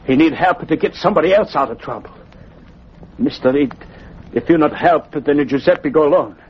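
Pleading Italian immigrant father, higher voice, broken English, vintage radio play with dull sound. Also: moderate pace, husky. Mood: insistent, pleading. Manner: broken English, refers to himself in third person. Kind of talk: 1940s radio acting. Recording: dull, noisy old radio recording.

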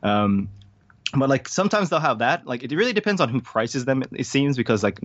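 A man's voice: medium pitch, very animated, fairly quick, no room sound. Rambling, joking American man on dull audio. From Hawaii, United States. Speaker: interview guest. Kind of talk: casual chat.

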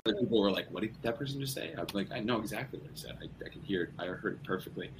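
A man's voice: lowish voice, very fast, noisy background. A young man as a podcast guest, talking casually in rambling sentences with many restarts. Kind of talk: casual conversation.

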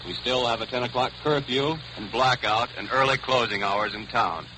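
A man's voice: medium pitch, moderate pace. An American man, an army sergeant, delivering a formal scripted report in a 1940s radio broadcast. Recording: hissy vintage 1940s overseas radio broadcast.

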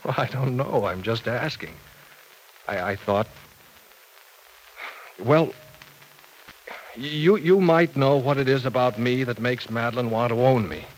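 A man's voice: mid-range voice, unhurried, long pauses, close to an everyday voice, low-quality recording. An actor in a vintage radio soap playing a timid, bookish professor who stammers mildly and hesitates as he talks. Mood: hesitant. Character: timid, bookish male professor, baffled by women. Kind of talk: 1940s-50s radio soap acting. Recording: old radio recording, faint noise.

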